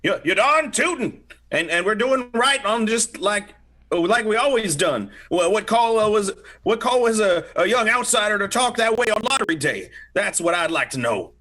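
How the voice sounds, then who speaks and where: A man's voice: sits high, animated, moderate pace, pushed and bright, full character voice. Man playing a stubborn, folksy old village grandsire. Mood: indignant. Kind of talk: amateur radio play reading.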